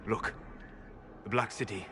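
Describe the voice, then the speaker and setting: An actor playing a calm, learned mage in a video game, thoughtful and precise in the way he talks. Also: a little slow, background noise, close to an everyday voice. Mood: quiet wonder. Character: calm, learned male mage, quietly fascinated. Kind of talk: video game cutscene acting.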